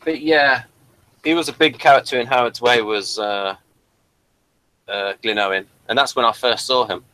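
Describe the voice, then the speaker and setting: A man with a mid-pitched, rather sharp and bright voice chats casually as co-host of a fan review. His delivery is halting and hesitant, and he often repeats words as he goes. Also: low-quality recording. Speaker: podcast co-host reviewing a TV series.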